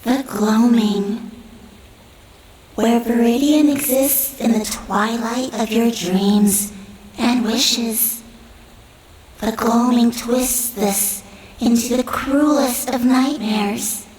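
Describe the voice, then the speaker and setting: A woman playing a serene, grave elven guardian in a fantasy audio drama, speaking in a measured, solemn way. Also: a little high, fairly even intonation, a little mellow. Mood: solemn, calm. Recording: roomy echo, audible background noise.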